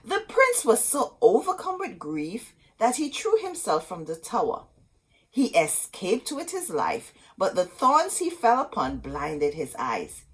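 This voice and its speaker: A woman storyteller reading a storybook aloud expressively, in short dramatic phrases with pauses. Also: medium pitch, wildly swooping pitch, breathy edge, rough recording.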